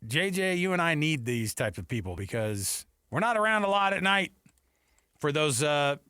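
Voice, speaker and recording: A man's voice: on the high side, big pitch swings, normal speed, sharp tone, clean tone, no echo, studio quality. A man hosting sports talk radio in a casual, chatty, joking way.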